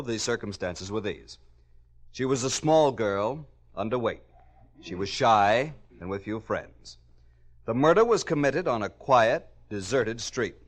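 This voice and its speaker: An actor playing a grave, authoritative doctor lecturing a class with deliberate emphasis in an old-time radio drama. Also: male voice, mid-range voice, close to an everyday voice.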